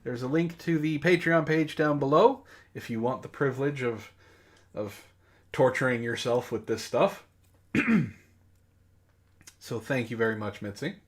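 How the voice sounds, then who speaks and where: A male podcast host with a medium-pitched voice. He chats casually and comedically, bantering with his co-hosts in a mock-exasperated tone. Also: unhurried, pauses often. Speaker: book club host.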